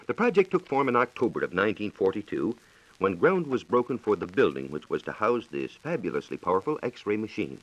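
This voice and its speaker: Low-voiced American 1940s radio science reporter. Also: male voice, very animated. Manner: formal, explanatory, measured diction. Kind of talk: scripted 1940s radio exposition. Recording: dull, lo-fi 1940s radio recording.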